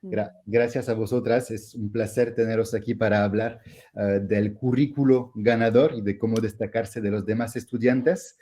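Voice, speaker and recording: A man's voice: lowish voice, steady tone, moderate pace, warm tone. A man from France with a French accent, hosting an online masterclass in a hesitant way, with many fillers and restarts. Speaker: host.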